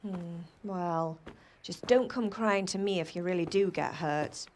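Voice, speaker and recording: A woman playing a slow, scolding peasant mother. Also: fairly low pitch, slight background noise, close to an everyday voice. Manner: scolding, fussing. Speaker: mother and blacksmith's wife. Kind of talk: video game cutscene acting.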